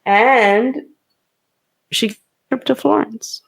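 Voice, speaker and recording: A woman's voice: on the high side, slow pace, vocal fry. A woman casually recapping a movie plot for a podcast, chatty with many fillers. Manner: chatty, hesitant, lots of fillers. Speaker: podcast host.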